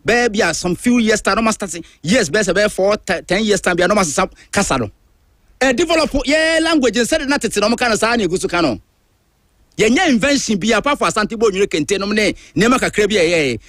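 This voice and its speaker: High-voiced Ghanaian radio host, dry and close. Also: male voice, fast talker, no echo. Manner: emphatic, animated, switches between languages. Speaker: radio drive-show host. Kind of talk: opinionated talk-radio monologue. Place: radio studio.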